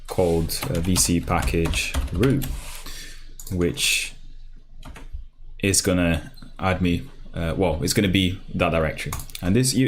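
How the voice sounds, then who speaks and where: Brazilian guy streaming code, deep voice, lots of ums and restarts, keys clacking behind, bit of hiss. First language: Portuguese. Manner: casual, rambling with many fillers and restarts. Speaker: programming livestream host. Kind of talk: live coding tutorial, thinking aloud. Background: keyboard typing.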